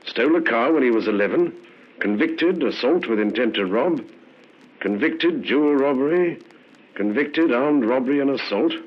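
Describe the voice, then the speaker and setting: A man's voice: mid-range voice, lively intonation, normal speed, close to an everyday voice. Guy playing dry shrewd police inspector, old radio drama, very up and down intonation, muffled sound. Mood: wry, businesslike. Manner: dry, probing, quietly commanding. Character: middle-aged, shrewd, unhurried police inspector. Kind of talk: 1950s radio drama acting.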